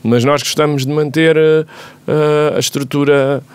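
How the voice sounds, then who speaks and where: Portuguese man in relaxed band conversation. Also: fairly high pitch, a little slow, clear, resonant tone, dry recording. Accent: Portuguese (European). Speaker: musician, band member being interviewed. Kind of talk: casual group conversation about making music.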